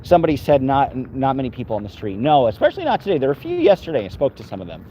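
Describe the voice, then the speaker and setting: A man's voice: mid-range voice, huge pitch swings, very fast, mellow, lo-fi sound, slight background noise. Rambling man streaming from city streets. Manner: rambling, talks to his dog and chat. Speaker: vlogger walking his dog. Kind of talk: casual live-stream chat.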